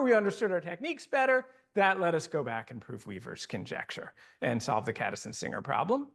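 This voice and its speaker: Lively higher-pitched male lecturer, self-correcting, thinking aloud. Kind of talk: academic lecture and Q&A. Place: lecture hall.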